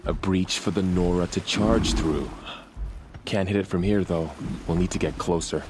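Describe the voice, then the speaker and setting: Man acting deep-voiced, earnest young warrior. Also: fairly even intonation, normal speed, background noise, lightly acted. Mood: determined. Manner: earnest, solemn. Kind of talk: dramatic video game acting.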